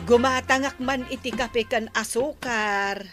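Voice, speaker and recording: A woman's voice: fairly high pitch, fairly even intonation, measured pace, over music, natural voice. A woman playing a nosy, chatty village neighbour in a radio comedy drama, with an Ilocano accent. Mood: indignant, advising.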